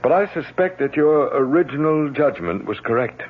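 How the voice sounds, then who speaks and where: An actor playing a protective, skeptical family physician in an old-time radio drama, speaking in a measured, concerned way. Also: male voice, mid-range voice, lively intonation, breathy edge. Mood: measured skepticism. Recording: noisy, tinny vintage radio recording.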